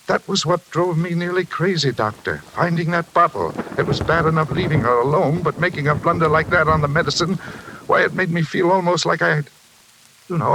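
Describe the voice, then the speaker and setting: An actor playing a self-important middle-aged railroad tycoon with a troubled conscience, brusque and emphatic in 1940s radio style. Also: male voice, on the high side, creaky. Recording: hissy old radio recording.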